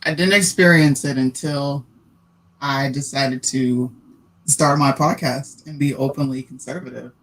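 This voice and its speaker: A man's voice: medium pitch, fairly even intonation, a little slow, a bit bright, a little hiss or hum, rough recording. A man who hosts a podcast, making his points emphatically in a casual discussion. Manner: emphatic, argumentative. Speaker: podcaster guest.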